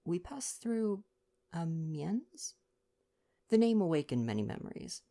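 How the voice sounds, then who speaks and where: A woman reading gently in a soft ASMR bedtime storytelling style. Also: lowish voice, big pitch swings, unhurried. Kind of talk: soft bedtime audiobook reading with casual asides.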